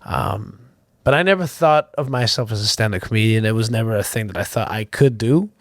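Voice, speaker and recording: A man in his forties, a comedian guest on a casual podcast, telling animated stories and jumping into quick voices. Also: no room sound, studio quality. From Canada. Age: 40s. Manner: animated storyteller, jumps into high-pitched impressions.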